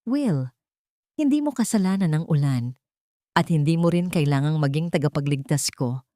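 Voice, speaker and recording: Woman acting a resilient, gentle young mother, lower-pitched. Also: lively intonation, normal speed, dry recording, studio quality. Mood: tender resolve.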